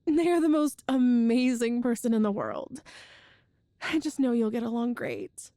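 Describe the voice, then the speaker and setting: High-voiced, affectionate girlfriend played by a woman. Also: very animated, unhurried, no echo. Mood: warm, amused. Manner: chatty and tender, with soft laughs and sighs. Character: affectionate, playful girlfriend raised by a ghost family. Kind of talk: ASMR audio roleplay.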